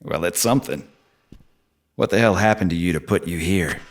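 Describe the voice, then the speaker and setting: An actor playing a blunt, needling, foul-mouthed streetwise stranger who lives in the subway, in an audio drama. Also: male voice, deep voice, normal speed. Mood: wry, probing. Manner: blunt, profane, probing.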